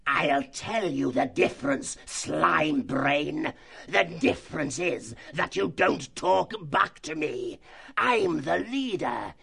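A man's voice: medium pitch, expressive, creaky, fairly bright tone, husky, voice pushed up, full character voice. A man voicing a cruel, hooded male fox who leads a band of slavers, with a harsh, grating, bullying way of talking. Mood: menacing. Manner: menacing, bullying, drawn-out threats. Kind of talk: audiobook character voice.

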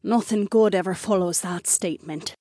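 A woman's voice: medium pitch, moderate pace, mellow, breathy edge, no room sound, studio quality, close to an everyday voice. A woman voicing a composed, candid, drily cautious female mage in audiobook dialogue.

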